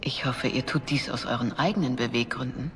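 Measured cleric woman in a reverberant hall. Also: very deep voice, a little hiss or hum. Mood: grave, discreet. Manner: measured, formal. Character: calm, devout, quietly shrewd cleric woman of authority. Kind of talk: dubbed video game drama acting. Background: music.

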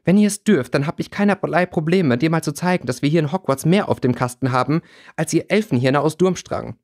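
A man's voice: a little high, lively intonation, a little fast, darkish tone, husky, voice pushed up. A man voicing a hot-headed, indignant teenage schoolboy in a fantasy audiobook. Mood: angry. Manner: indignant, combative.

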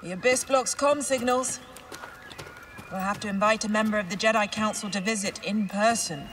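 Sci-fi game role: actress as measured, cautious Jedi woman, dry wit, patient, background ambience. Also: medium pitch, fairly bright tone, slight background noise, close to an everyday voice. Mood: concerned. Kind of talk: cinematic video game acting.

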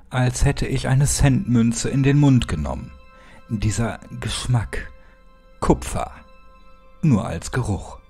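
A man narrating a first-person horror story as a scripted audiobook, with deliberate, dramatic phrasing. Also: medium pitch, creaky, warm tone, breathy edge. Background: music.

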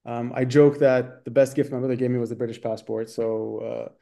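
A man in his thirties who grew up in Saudi Arabia, with a Dubai international accent from American schools, answering interview questions over a video call with quick talk and many fillers. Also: mid-range voice, flat delivery, vocal fry, warm tone. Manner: quick, fluent, with frequent fillers and restarts. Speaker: guest, startup accelerator executive. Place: bright room indoors. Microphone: video call webcam.

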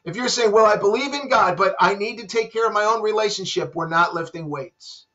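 A man in his fifties, a pastor, preaching a sermon from a room at home into a webcam, dropping soft and low for emphasis. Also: fairly quick. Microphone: webcam at desk. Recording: dull lo-fi webcam audio.

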